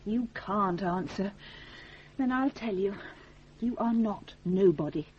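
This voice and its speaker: An actress playing a brisk, forthright young woman who takes charge in a radio drama, firm and commanding. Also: mid-range voice, lightly acted. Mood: scolding, firm. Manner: commanding, firm, crisp retorts. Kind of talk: 1980s radio drama acting. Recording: dull, noisy old radio recording.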